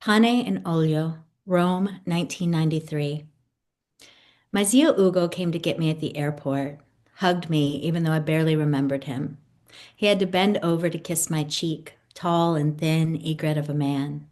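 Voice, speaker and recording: Low-voiced woman poet, native Italian speaker. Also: normal speed, a little mellow. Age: 50s. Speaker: poet, guest. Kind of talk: poetry reading and conversation about own poems.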